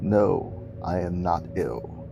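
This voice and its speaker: A man voicing a ghastly, grim and secretive dying man in a Victorian ghost story, speaking in a low, hollow murmur. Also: animated, slow, gravelly, music in the background, heavily acted. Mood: grim, weary. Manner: low murmured, hushed delivery. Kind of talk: dramatic ghost story reading.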